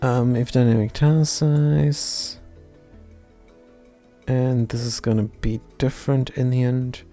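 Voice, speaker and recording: A man with a German accent and a dark, gravelly voice talks through code at a desk mic, half-finishing his sentences. He is wry and self-deprecating, with faint music under him. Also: medium pitch, flat delivery, slow pace, long pauses. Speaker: live-streaming coder. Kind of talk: thinking aloud while coding, chatting with viewers.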